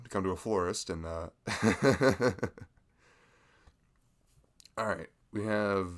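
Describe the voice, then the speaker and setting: A young man doing a chatty audio roleplay as a friendly shopkeeper, laughing often between lines. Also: deep voice. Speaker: ASMR roleplay creator.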